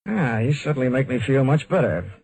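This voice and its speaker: An American man playing a cool, flirtatious hardboiled investigator with wry, deadpan delivery in a 1950s radio drama. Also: mid-range voice, a little fast, rough recording. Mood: flirtatious banter. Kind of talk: 1950s radio detective drama acting, first-person narration.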